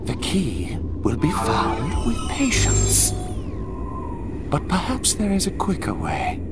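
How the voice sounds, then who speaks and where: A man playing an imperious, zealous alien high priest in a game cutscene, pompous and theatrical. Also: huge pitch swings, slow, loud music behind the voice. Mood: scheming. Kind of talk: dramatic game cutscene acting.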